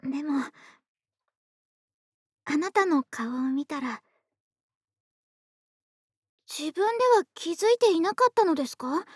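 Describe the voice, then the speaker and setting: An actress playing a gentle, polite, caring neighbor woman in an intimate ASMR voice drama, speaking closely to one listener. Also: very high voice, dry recording, clean studio sound, exaggerated voice. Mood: tender, teasing. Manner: polite, soft-spoken formal speech addressed to the listener. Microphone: close ASMR microphone, dry studio.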